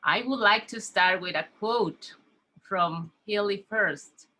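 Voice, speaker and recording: A middle-aged woman with a Spanish accent, a native Spanish speaker, giving a heartfelt welcome speech at a conference over a video call, slow and earnest with frequent pauses and fillers. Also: medium pitch, bright, forceful tone, poor audio. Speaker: conference speaker, community health worker.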